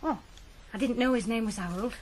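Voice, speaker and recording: A woman playing a brisk, forthright working-class housewife in a radio drama. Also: fairly high pitch, slight background noise, natural voice. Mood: hospitable. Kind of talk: 1980s British radio drama acting.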